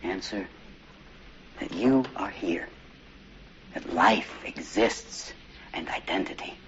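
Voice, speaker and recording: An actor playing a male teacher, reciting poetry to his students slowly and earnestly. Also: sits low, a bit bright, a little hiss or hum. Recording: dull lo-fi film clip.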